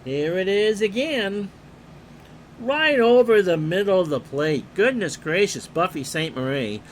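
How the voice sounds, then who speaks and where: Slow, calm baseball play-by-play man. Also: sits high, a little hiss or hum, poor audio. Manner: calm, unhurried, reads player stats and chats with viewers. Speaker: play-by-play announcer and streamer. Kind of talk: replay baseball play-by-play commentary.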